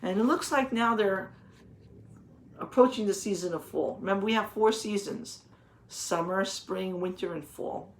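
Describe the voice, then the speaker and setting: A woman reading a children's picture book aloud, pausing to explain things simply and put questions to the young listeners. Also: mid-range voice, low-quality recording. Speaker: read-aloud teacher.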